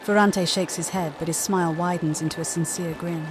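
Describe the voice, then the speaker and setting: A woman's voice: on the low side, steady tone, brisk, background noise. A woman reading scripted story narration for a video game playthrough. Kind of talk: scripted story narration with voiced player lines.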